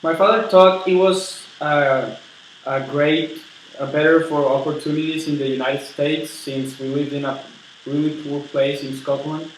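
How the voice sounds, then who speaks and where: A man playing an eager, polite steel tycoon in a role-played interview, earnest and halting as he repeats words. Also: fairly high pitch, fairly even intonation, far from the mic, natural voice. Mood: earnest, reflective. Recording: very echoey room with background noise.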